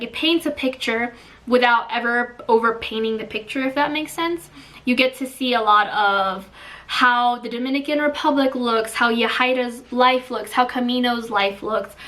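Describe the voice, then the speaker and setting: A woman's voice: on the high side, fairly bright tone, distant mic. A woman giving a casual spoken book review, chatty and full of "like" fillers. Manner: chatty, filler-heavy with frequent "like".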